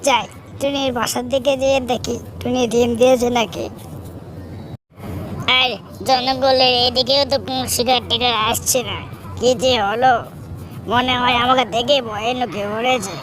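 A woman's voice: on the high side, steady tone, moderate pace, noisy background, natural voice. A woman voicing a hungry, scheming fox in a children's cartoon, muttering plans to itself and exclaiming. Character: hungry scheming fox hunting for bird eggs. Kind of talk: children's cartoon story dialogue.

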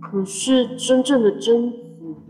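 A woman playing a gentle, anxious fourteen-year-old boy in an audio drama, hesitant, earnest and polite. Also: a little high, animated, slow pace. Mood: puzzled. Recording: background music under the voice.

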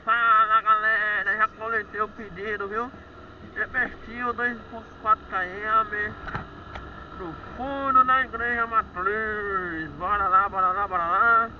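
A man's voice: sits high, steady tone, measured pace. A man with a Brazilian accent chatting informally to his viewers while he rides a motorcycle outdoors. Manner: informal, addresses viewers repeatedly. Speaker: motorcycle vlogger and delivery rider. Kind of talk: casual chat to viewers while riding. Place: outdoors on a moving motorcycle at night. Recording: muffled action-camera audio with loud engine noise. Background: motorcycle engine and road noise.

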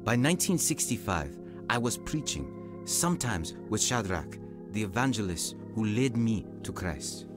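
An actor playing an earnest young southern African convert turned preacher in a radio drama. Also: male voice, on the low side, sing-song, unhurried, music in the background. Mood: earnest, reflective.

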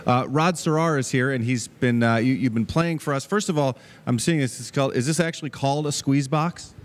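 A man's voice: medium pitch, fairly quick. A man in his thirties hosting a live TV interview on a fairground barn stage, upbeat and joking, speaking into a handheld mic. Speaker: TV news host.

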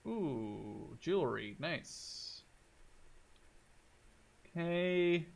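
Guy in his 20s doing lets play commentary, kinda high voice, excitable, thinking out loud. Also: extremely slow, gravelly. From Canada or the Netherlands. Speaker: gaming video host. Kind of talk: casual let's play commentary.

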